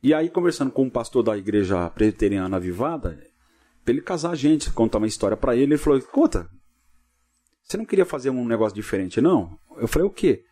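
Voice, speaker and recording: A man's voice: mid-range voice, sing-song, quick pace, dark tone. A middle-aged man with a São Paulo state Brazilian accent, telling stories informally as an interview guest, often adding little tag questions. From Cubatão, São Paulo coast, Brazil. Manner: peppers talk with tag questions. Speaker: pastor, podcast guest.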